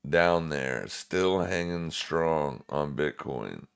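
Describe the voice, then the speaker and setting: A man with a very deep smoker's voice hosts a live trading stream. His delivery is slow and smooth, with clean resonance. He comments casually in a laid-back, slangy way, speaking straight to his viewers. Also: lively intonation.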